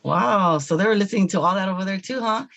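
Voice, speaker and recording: Male talk show host, creaky voice, hesitant casual questioning, slightly dull lo-fi sound. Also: sits high, quick pace, a bit bright, no echo. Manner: hesitant, searching for words, agreeable interjections. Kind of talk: casual interview chat.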